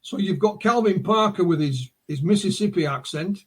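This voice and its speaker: Husky Northern English man telling stories. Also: high voice, expressive, moderate pace. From North of England. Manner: anecdotal, retells witnesses' words, frequent stammered restarts. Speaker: guest, UFO researcher and author. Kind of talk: podcast interview, storytelling.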